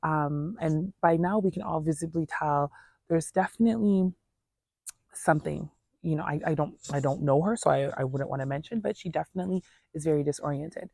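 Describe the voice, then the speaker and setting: A woman's voice: sits low, brisk, vocal fry, warm tone, dry recording. A woman telling a personal story in a casual, earnest, conversational way, voicing reported dialogue as she goes. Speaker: storyteller vlogger.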